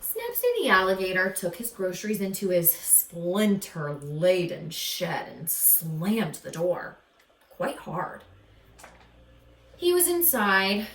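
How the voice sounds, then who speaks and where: Female storybook narrator: expressive, slow and suspenseful, with an echoey, slightly distant recording. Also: mid-range voice. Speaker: storybook reader. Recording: echoey room, distant from mic.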